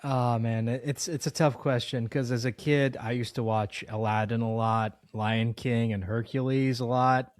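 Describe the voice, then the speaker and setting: A man's voice: medium pitch, fairly even intonation, normal speed, hardly any pauses. A man hosting an interview in a casual, informal, conversational way. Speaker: podcast interviewer.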